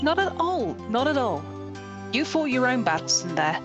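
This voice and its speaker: A woman's voice: high-pitched, sing-song, normal speed, dull audio, over music. A woman voicing a supportive, self-assured female boss in a video game, composed and gracious. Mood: pleased, encouraging.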